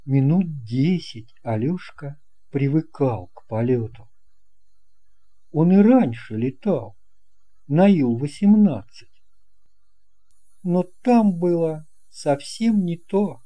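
A narrator reading a children's story with deliberate, measured phrasing. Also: medium pitch, expressive, warm tone. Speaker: audiobook narrator. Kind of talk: scripted narration of a children's tale.

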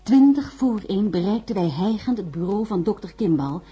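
A woman's voice: mid-range voice, expressive, normal speed, mellow, highs cut off, soft background music, lightly acted. An actress playing an earnest, worried woman who narrates and speaks in a Dutch science-fiction radio drama. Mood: earnest, narrating. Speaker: narrator and lead character in a radio play.

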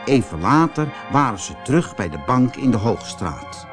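Male audiobook narrator for kids' tales: expressive, highly varied intonation, light musical backing. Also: normal speed, music in the background. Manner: expressive, dramatised reading. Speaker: storyteller. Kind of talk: scripted children's audiobook narration.

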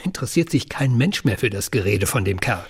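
An actor playing a hard-nosed, domineering construction boss in a radio drama, brusque and commanding. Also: male voice, fairly low pitch, lively intonation, fairly quick, slightly rough, full character voice. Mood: scheming, bossy.

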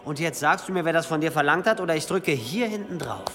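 An actor playing a sly, prying medieval monk in a historical radio drama, mocking and insistent. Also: male voice, a little high, expressive, fast, a bit bright. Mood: needling. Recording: long reverb of stone cloister, faint noise.

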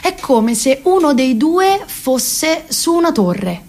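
A woman's voice: sits high, very animated, slow, a little mellow, exaggerated voice. An actress around thirty with a Roman Italian accent, playing a neurotic, rambling, self-ironic woman in a comic radio monologue. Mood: hesitant.

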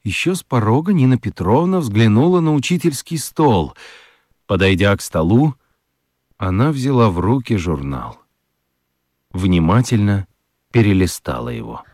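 A man reads scripted narration for a children's radio play. His voice sits on the low side, and he tells the story expressively. The sound is dry, with no room around it. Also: very animated, unhurried, darkish tone, no echo.

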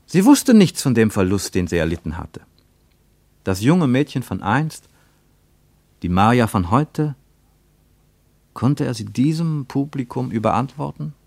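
A man reading scripted literary narration for radio in a measured, solemn manner. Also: mid-range voice, sing-song, vocal fry, darkish tone, no echo.

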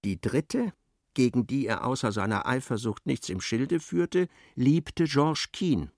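A man narrating a literary radio drama in a measured, articulate, storytelling way. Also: medium pitch, lively intonation, darkish tone, no room sound, clean studio sound.

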